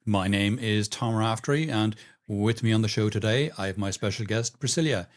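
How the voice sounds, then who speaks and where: A man's voice: on the low side, normal speed. A man hosting a podcast, introducing his guest and asking questions in a friendly way. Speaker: podcast host. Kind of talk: podcast interview.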